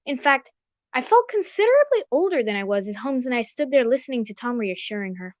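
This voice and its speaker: A genteel, old-fashioned gentleman doctor played by a woman in a radio mystery. The voice is high and formal, telling things in a measured way. The recording is dry and dull. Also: sing-song, dry recording. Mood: earnest. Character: Doctor Watson, genteel older gentleman narrator and loyal companion. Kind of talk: old-time radio drama acting.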